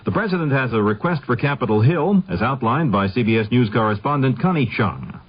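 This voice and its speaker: Husky male radio news anchor, vintage broadcast. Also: medium pitch, lively intonation, fairly quick, lo-fi sound. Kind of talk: scripted news bulletin.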